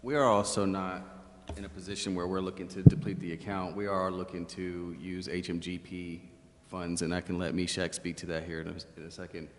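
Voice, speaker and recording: A man's voice: sits low, level tone, brisk. A man giving a formal explanation as a staff member at a public meeting. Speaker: city staff member reporting to council. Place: indoor city council chamber. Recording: echoey council chamber with faint noise.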